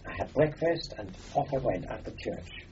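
A man's voice: mid-range voice, animated, normal speed, darkish tone, slight background noise. Older Austrian-born man, rambling reminiscence. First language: German. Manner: rambling, meandering storyteller with many fillers. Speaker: interviewee. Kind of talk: oral history reminiscence. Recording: dull lo-fi recording.

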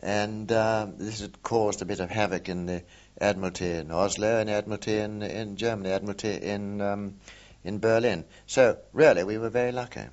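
Deep-voiced older man recalling wartime. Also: fairly even intonation, a little mellow. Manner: hesitant, many ums and uhs, measured. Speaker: war veteran interviewee. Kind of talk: oral history recollection. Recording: dull lo-fi recording.

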